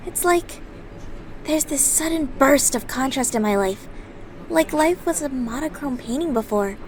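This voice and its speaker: Woman acting a high-voiced, restless teenage schoolgirl. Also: a little hiss or hum, poor audio. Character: restless teenage schoolgirl, troubled but playful twin sister. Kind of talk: fan audio drama acting.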